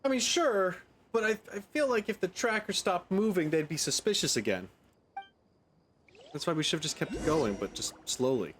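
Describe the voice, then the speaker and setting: A man's voice: high voice, expressive, normal speed, a bit bright, slight background noise. A man doing casual let's play commentary as a gaming streamer, with a sceptical, sarcastic and blunt manner.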